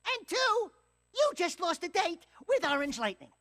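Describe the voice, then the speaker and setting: A man's voice: very high voice, big pitch swings, normal speed, noisy background. A man voicing a cocky, boastful, wisecracking little furry sidekick in a cartoon-like video game, with brash theatrical delivery.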